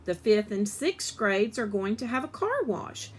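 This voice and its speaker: A woman reading a children's story aloud in an animated, expressive way, with emphasis on the dialogue. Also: mid-range voice, measured pace, a little hiss or hum.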